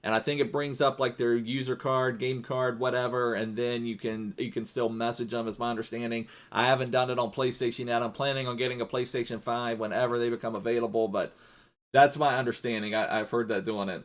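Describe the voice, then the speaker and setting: A man's voice: medium pitch, little pitch movement, fairly quick. A man streaming a football video game live, chatting casually in a rambling way and thanking viewers as he plays.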